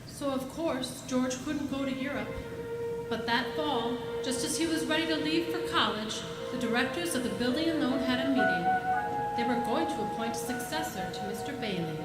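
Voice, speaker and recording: A woman's voice: high voice, normal speed, lightly acted. A woman playing a patient storytelling narrator in a live radio play. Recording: roomy live stage. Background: music.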